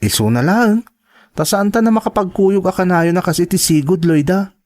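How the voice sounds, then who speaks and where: An actor with a Filipino accent playing an earnest, determined young college student in a radio drama, with an imploring manner. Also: male voice, sits high, expressive, moderate pace, darkish tone. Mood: earnest, confiding. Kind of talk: Ilocano radio-style drama acting.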